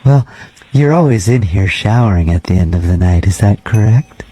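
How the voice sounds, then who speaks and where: A man playing a soft-spoken, creepily friendly night janitor who talks with polite, unsettling earnestness on a prank call. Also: on the low side, expressive, normal speed, close to an everyday voice. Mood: soft, oddly polite.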